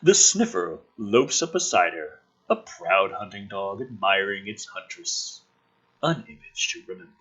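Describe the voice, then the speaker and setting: A man's voice: fairly low pitch, animated, a little slow, fairly bright tone, husky, rough recording. A man reading a script aloud theatrically, with sudden emphasis and sarcastic, exasperated, profane commentary. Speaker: host reading and mocking a film script.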